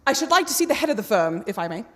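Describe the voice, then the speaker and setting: A woman playing a polite, earnest gentleman charity collector in a radio play, formal and persistent. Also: a little high, big pitch swings, very fast. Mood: courteous, earnest. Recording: long hall reverb.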